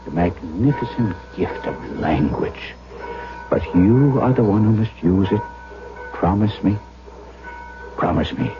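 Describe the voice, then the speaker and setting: A muffled vintage radio recording with music underneath carries an older German doctor, kindly and earnest. His deep voice moves expressively through a deliberate, fatherly piece of radio drama. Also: lively intonation. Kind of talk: 1970s radio drama acting, first-person narration.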